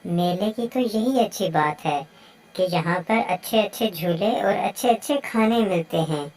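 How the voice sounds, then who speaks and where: A woman's voice: medium pitch, a little slow, darkish tone, natural voice. Woman voicing eager young cartoon bird child. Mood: excited. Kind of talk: children's moral story cartoon dubbing.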